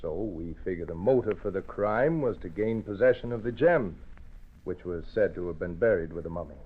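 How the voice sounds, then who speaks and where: An actor playing a serious, formal police inspector in an old-time radio drama, deliberate and official. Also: male voice, low voice, expressive, breathy edge. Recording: tinny band-limited old radio recording.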